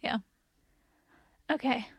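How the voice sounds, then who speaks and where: A woman with a gentle, soft voice hosting a podcast in a casual, chatty conversation. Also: no echo. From United States. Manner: chatty, quick-talking, laughs easily. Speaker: podcast host.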